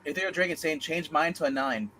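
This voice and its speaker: A man's voice: a little high, fast, crowd noise. A man co-hosting a livestream panel in casual, joking chat. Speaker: co-host of a comics discussion show.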